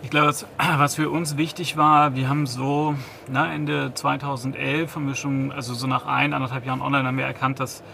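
A man's voice: mid-range voice, level tone, fairly quick, creaky, few pauses, bright, forceful tone, slight background noise. A man in his thirties, a company founder, giving a business interview, hesitant, with many restarts and fillers. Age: 30s.

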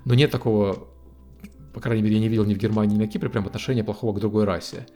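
A man's voice: lowish voice, fairly even intonation, rapid-fire, dark tone, soft background music. A man chatting casually as a guest, hesitant with many fillers. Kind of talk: casual conversation. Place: living in the United States.

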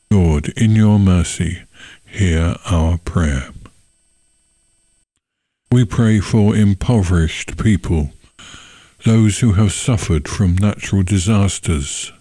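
A man leading a church service, giving a short sermon and prayers in measured, solemn phrasing. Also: deep voice, dark tone, no room sound, clean studio sound.

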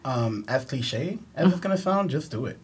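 A man's voice: medium pitch, animated, normal speed, slightly rough. A man in his early thirties from New York whose first language is Spanish, talking candidly and telling anecdotes as a podcast guest. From New York, United States. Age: early 30s. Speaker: interview guest, event professional. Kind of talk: casual podcast interview.

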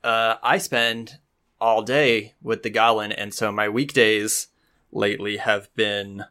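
A man's voice: on the low side, level tone, slow, sharp tone, smooth. Chatty enthusiastic young man. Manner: chatty and enthusiastic, rambling with fillers. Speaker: podcast host and game master. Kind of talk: casual chat.

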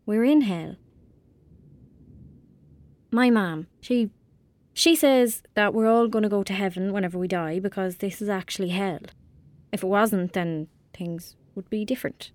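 A woman's voice: medium pitch, a little slow, pauses often, no echo, lightly acted. Woman acting as young rural Irish girl, earnest, imaginative, matter-of-fact, audio drama, close dry sound. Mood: earnest, sombre.

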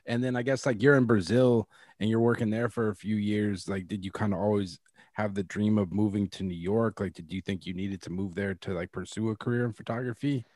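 A lower-pitched man hosts an interview in an easygoing way, with lots of fillers in his questions. The recording is dry and close, with no room sound. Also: fairly even intonation, a little fast, darkish tone, no room sound. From Boston. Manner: laid-back, filler-heavy questions. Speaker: podcast host. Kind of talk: casual interview chat.

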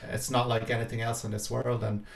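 A man's voice: mid-range voice, little pitch movement, a little fast, vocal fry. A man who is a composer talking reflectively about his own work in a hesitant, thoughtful way. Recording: roomy echo, faint music behind.

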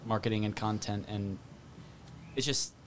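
A man working in marketing and content, chatty and quick to laugh, talking in bursts in a casual chat. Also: on the low side, fairly even intonation, a little hiss or hum. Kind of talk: casual podcast conversation.

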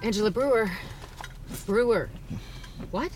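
A brisk female aide played by an actress in an audio drama. She sounds terse, harried and exasperated. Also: a little high, darkish tone, soft background music, close to an everyday voice. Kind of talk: naturalistic audio-drama acting.

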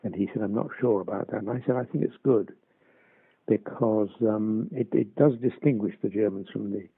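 An older actor reminiscing in an interview, hesitant with many restarts and chuckles. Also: male voice, lowish voice, moderate pace, gravelly, husky, no echo. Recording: dry, tinny phone-like line.

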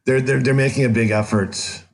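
A man's voice: mid-range voice, steady tone, brisk. A middle-aged American man telling a personal story conversationally as an interview guest, candid and wry. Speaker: podcast guest, author.

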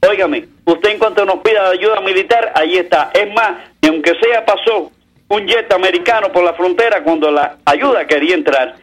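A Cuban man with a high voice gives his political opinions as a caller on talk radio. His delivery is colloquial and sardonic, leaning on street idioms and pointed sayings. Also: normal speed, fairly bright tone.

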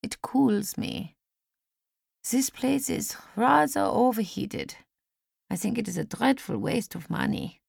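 A woman voicing a frail, gentle, wistful old lady in an audiobook, speaking slowly and very politely. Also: on the high side, animated, gravelly, husky, no echo, studio quality, voice pushed up, heavily acted. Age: older. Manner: slow, deliberate, polite. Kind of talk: audiobook character acting.

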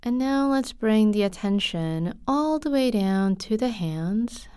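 Slow, soft-spoken woman guiding meditation. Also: medium pitch, smooth, dry recording, clean studio sound. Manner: soft, calm, unhurried, with frequent pauses. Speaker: guided meditation teacher. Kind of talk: guided body scan meditation.

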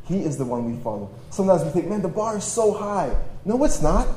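Jokey priest preaching in an echoey room. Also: male voice, sits high, big pitch swings, fairly quick, mellow. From Toronto, Canada, born in Poland. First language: Polish. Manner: conversational, self-deprecating, jokey, slangy. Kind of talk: informal personal testimony preaching to young adults. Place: large room at a live gathering, through PA. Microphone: stage microphone. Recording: echoey live hall, faint noise.

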